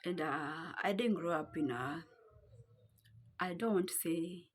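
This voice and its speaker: A woman's voice: on the low side, a little slow, husky. A young woman with a Kenyan accent telling a casual vlog story from her home, rambling as she searches for words. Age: young adult. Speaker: vlogger. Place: her home, seated on the floor.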